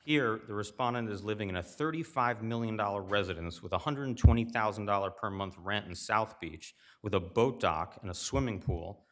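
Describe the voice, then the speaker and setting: Very deep-voiced man addressing the court. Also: moderate pace, few pauses. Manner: formal, addresses judges as Your Honors. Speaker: appellate attorney. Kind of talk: oral argument.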